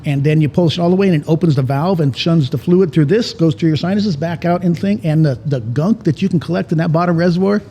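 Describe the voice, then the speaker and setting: A man's voice: on the high side, quick pace, few pauses, dark tone, slightly rough. A man chatting casually as a podcast co-host, telling stories in a joking, profane way. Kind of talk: casual bantering chat. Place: indoor cigar lounge. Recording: reverberant room, background noise.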